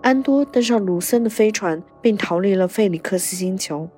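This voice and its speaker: A woman's voice: medium pitch, normal speed, mellow, soft background music. A woman hosting a podcast and narrating a scripted story recap.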